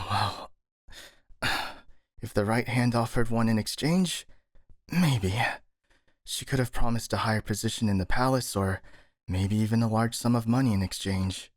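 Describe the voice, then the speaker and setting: A man's voice: lowish voice, unhurried, no room sound, clean studio sound, natural voice. A man playing a skeptical, worrying captured master ninja, sighing and hesitant, in a scripted ASMR audio roleplay. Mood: suspicious.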